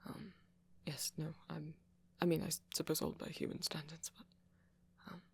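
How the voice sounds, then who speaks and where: A man playing a shy, apologetic young vampire in an ASMR roleplay, with a posh British accent and a hesitant, stammering, soft-spoken way of talking. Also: on the high side, level tone, normal speed, gravelly. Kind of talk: intimate ASMR roleplay audio.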